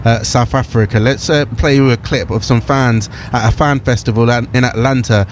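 A man's voice: mid-range voice, steady tone, moderate pace, a little hiss or hum. A man presenting live sports news and putting questions to guests.

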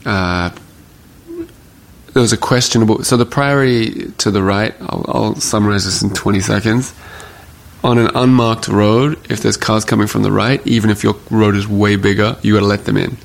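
A man telling an animated personal anecdote in a casual chat, acting out what people said. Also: fairly low pitch, moderate pace, gravelly. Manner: animated anecdote teller, acts out quotes.

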